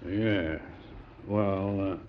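An actor playing a polite, uneasy American Attorney General in 1940s radio drama style, formal and deferential. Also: male voice, low voice, steady tone, very slow. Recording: dull old radio broadcast recording.

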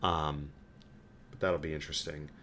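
A man hosting a sports podcast, talking casually as a fan and telling stories in an animated, stammering way. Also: very deep voice, normal speed, slight background noise. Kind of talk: casual hockey fan chat.

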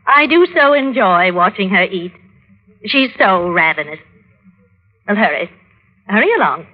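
An actress playing a genteel, serenely composed and politely bossy middle-aged wife in an old-time radio drama. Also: female voice, mid-range voice, expressive, unhurried. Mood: gracious, composed. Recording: dull vintage radio recording.

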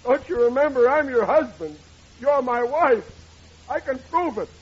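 A man's voice: very high-pitched, expressive, a little slow, husky, exaggerated voice. An actor playing a melodramatic, woeful middle-aged working-class father in 1940s radio comedy style, whining and ungrammatical. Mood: pleading. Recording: dull noisy old radio recording.

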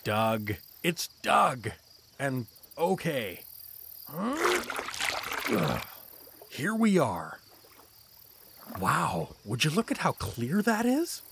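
A man's voice: fairly high pitch, wildly swooping pitch, extremely slow, gravelly, pauses often, noisy background, full character voice. A man playing a bumbling, good-natured married everyman hero, hesitant and folksy, in a fantasy comedy audio drama. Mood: flustered, friendly.

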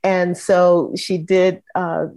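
A woman's voice: on the low side, flat delivery, slow, darkish tone. A woman archivist and researcher speaking enthusiastically on a panel. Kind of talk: panel discussion.

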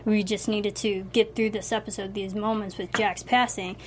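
A woman's voice: medium pitch, level tone, moderate pace, slight background noise. Brief: woman host, upbeat, guiding the talk, faint room ambience. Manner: upbeat, leading questions. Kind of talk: casual interview.

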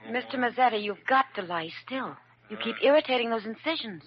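An actress in an old radio drama playing a calm, kindly nurse with a gentle manner, on a muffled and noisy recording. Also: female voice, fairly high pitch, brisk. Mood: soothing.

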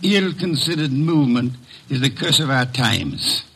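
An actor playing a pompous, grumbling, sedentary genius detective, declaiming in 1950s radio drama style. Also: male voice, mid-range voice, animated, measured pace, sharp tone, husky, heavily acted. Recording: faintly noisy old radio recording.

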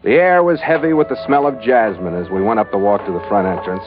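An actor playing a hardboiled, wisecracking American adventurer, narrating in terse, sardonic 1940s radio detective style. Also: male voice, lowish voice, quick pace, lightly acted. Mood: grim, wry. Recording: muffled old radio recording with music underneath.

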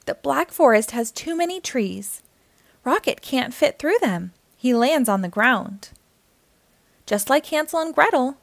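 A woman hosting a children's storytime, reading aloud in an animated, encouraging way and speaking directly to young listeners. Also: sits high, no room sound.

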